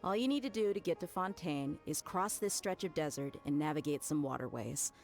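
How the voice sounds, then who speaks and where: A woman's voice: sits low, lively intonation, brisk, creaky, darkish tone, faint music behind. A woman playing a confident, easygoing, teasing young mercenary in a video game.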